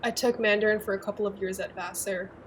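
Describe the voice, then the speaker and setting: A woman's voice: mid-range voice, fairly even intonation, fast, slight background noise. A young woman from the United States, talking casually in a documentary interview, with lots of "like". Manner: casual, frequent "like" fillers.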